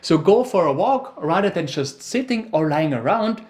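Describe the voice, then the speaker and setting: A man's voice: on the high side, sing-song, moderate pace, a little mellow. A man with an Austrian accent, presenting an educational video as the science expert, with dry, teasing humor.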